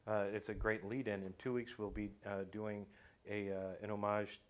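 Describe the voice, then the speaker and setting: A man in casual talk-show conversation as a radio show creator, often pausing and restarting his phrases. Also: fairly low pitch, flat delivery.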